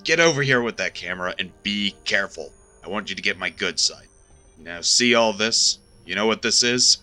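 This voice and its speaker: A man playing a gruff, vain ship's engineer in an audio drama, abrasive and blustering. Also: on the low side, lively intonation, moderate pace, sharp tone, faint music behind. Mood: bossy.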